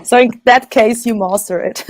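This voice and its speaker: A Swiss woman with a Swiss accent chats as an interview guest over a video call. Her English is halting, with frequent hesitations and laughs. The sound is dry and close. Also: fairly high pitch, a little mellow, dry recording. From Switzerland. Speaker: rock singer, guest. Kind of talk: casual interview chat.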